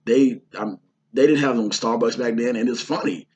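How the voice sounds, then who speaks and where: A hoarse man from the Houston area chatting casually about his indie film shoot, rambling with lots of fillers and restarts. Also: medium pitch, fairly even intonation, normal speed, darkish tone. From Houston, Texas area. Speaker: indie filmmaker and actor. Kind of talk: casual vlog talk.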